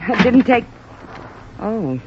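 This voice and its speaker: A woman's voice: a little high, big pitch swings, slow pace, noisy background. An upset young woman. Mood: defensive. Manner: emotional, pleading. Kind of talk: 1950s radio drama acting. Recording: muffled old radio broadcast.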